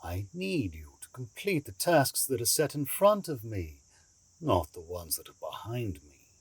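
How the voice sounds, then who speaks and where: An actor playing a calculating villain boss in an audio drama, husky and deliberate, with silky, commanding courtesy. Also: male voice, medium pitch, big pitch swings, dry recording, full character voice. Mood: smooth menace. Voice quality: husky, raspy. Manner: slow, deliberate, silkily polite.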